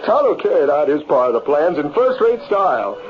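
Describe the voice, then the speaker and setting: An actor playing a folksy cowboy storyteller with a rural Western American accent, narrating a yarn in old-time radio style. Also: male voice, on the high side, brisk. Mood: storytelling. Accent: rural American West. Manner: folksy, animated yarn-spinning. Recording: muffled, noisy vintage radio recording.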